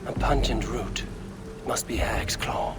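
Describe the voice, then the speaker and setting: An actor playing a gruff, brooding Norse warrior in a video game, speaking gravely in a breathy, gravelly murmur. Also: male voice, mid-range voice, takes it slowly, loud music behind the voice, close to an everyday voice. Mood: brooding, hushed. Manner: grave, archaic phrasing. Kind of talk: video game character musing aloud.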